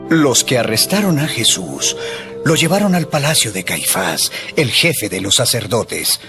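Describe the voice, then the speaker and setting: A male narrator reads a scripted Bible drama with a storyteller's delivery. His medium-pitched voice moves with lively inflection over prominent background music. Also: measured pace.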